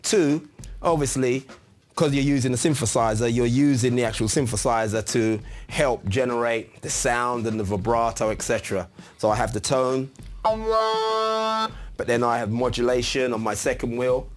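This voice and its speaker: A man's voice: mid-range voice, moderate pace, soft background music. A man giving an informal, chatty tutorial as a musician, explaining a piece of gear step by step. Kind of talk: casual instructional demonstration.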